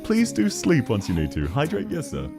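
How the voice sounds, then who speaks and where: A man with a thick Australian accent, chatting casually and quickly as a game streamer, laughing often while reading chat aloud. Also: medium pitch, over music. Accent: Australian, unusual variety. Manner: fast-talking, laughs often, animated.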